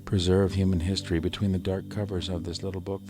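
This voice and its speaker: An actor playing an exhausted, scholarly survivor of a Martian invasion in a halting, grave radio drama style. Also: male voice, low-pitched, little pitch movement, music in the background, natural voice. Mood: weary, grave.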